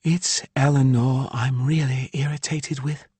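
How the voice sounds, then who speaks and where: A man's voice: mid-range voice, fairly even intonation, warm tone, slightly rough, dry recording, pitched above the performer's own voice. A man voicing an irritated, exasperated mother in an audiobook, with an edgy, emphatic delivery. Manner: edgy, drawn-out emphatic speech. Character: irritated, exasperated wife and mother, female healer.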